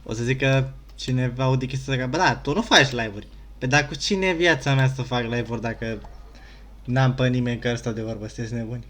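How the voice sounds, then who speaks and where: A man's voice: mid-range voice, quick pace, a bit bright, slight background noise. A man doing casual, slangy let's-play commentary as a gaming YouTuber. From Romania. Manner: informal, slangy.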